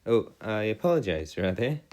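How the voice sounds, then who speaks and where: A man giving a step-by-step lesson as a tutor, in formal, wordy phrasing with halting pauses and self-corrections. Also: fairly low pitch, sing-song, a little mellow. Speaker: math and physics tutor. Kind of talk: explanatory lesson, worked step by step.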